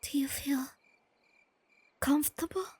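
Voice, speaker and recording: A woman playing a shy, nerdy, tender young girlfriend in a soft-spoken ASMR roleplay, with a breathy near-whisper and hesitant, gently stammering delivery, crickets chirping behind her. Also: sits high, a little slow, no room sound. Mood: dreamy, calm. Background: crickets and night insects.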